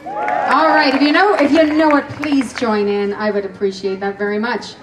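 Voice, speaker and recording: A woman's voice: on the high side, fairly even intonation, normal speed, slight background noise. A woman from Dublin with an Irish accent, chatting to the crowd from an outdoor amphitheater stage before her song. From Dublin, Ireland. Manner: hypes up the crowd. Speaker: open mic performer. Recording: distant echoey live recording. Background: loud crowd and guitar music.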